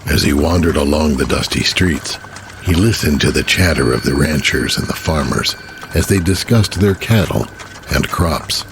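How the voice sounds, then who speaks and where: A man's voice: rumbling low, lively intonation, normal speed, vocal fry, noisy background. A man reading scripted story narration as the narrator of an audio drama. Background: music.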